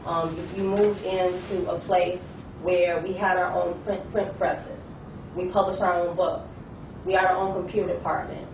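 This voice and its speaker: A woman speaks in earnest, piling up details and repeating phrases as she tells her story. She sits far from the mic in an echoey room. There is clear rumbling noise behind her. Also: little pitch movement, unhurried. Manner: earnest, listing, repeats herself. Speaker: discussion participant. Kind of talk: testimony in a debate.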